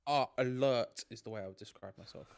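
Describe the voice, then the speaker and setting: A man in his twenties speaks at an everyday middle pitch, stuffed up with a cold. His manner is low-key, and he mumbles his lines in casual banter. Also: brisk, vocal fry, smooth. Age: 20s. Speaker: podcast co-host. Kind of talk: casual chat.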